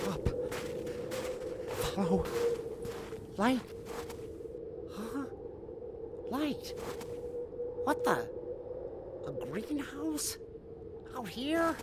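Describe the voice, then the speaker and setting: An actor playing a desperate, guilt-ridden middle-aged father and professor in an audio drama, halting and pleading. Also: sits high, very noisy, exaggerated voice. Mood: desperate, struggling.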